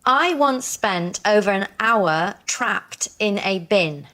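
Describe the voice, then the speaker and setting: A woman's voice: medium pitch, lively intonation, slow pace, sharp tone. A woman casually and hesitantly telling a story as a guest on a panel show.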